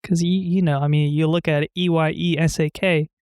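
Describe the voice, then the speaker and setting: A young man with a somewhat high voice, co-hosting a podcast in a loose, chatty way. His talk is full of fillers like "like" and "you know". The recording is dry and close. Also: little pitch movement, a little fast, darkish tone, smooth, no echo. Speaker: podcast co-host. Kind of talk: casual chat.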